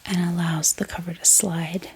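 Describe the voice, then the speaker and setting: Female ASMR vlogger, whispered and calming, slightly low in pitch, over noticeable hiss and clicking. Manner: gentle, unhurried, calming. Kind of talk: soft-spoken whispered ASMR. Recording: steady background hiss, heater hum, clicks. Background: book tapping, clicking, heater running.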